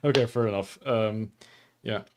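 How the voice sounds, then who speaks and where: A man, a game developer streamer chatting casually with viewers, keeping his voice deliberately low and calm despite a dry throat, rambling with fillers. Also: noisy background. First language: Portuguese. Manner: deliberately lowered, calm delivery; rambling, with many fillers and laughs. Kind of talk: casual livestream chat with viewers.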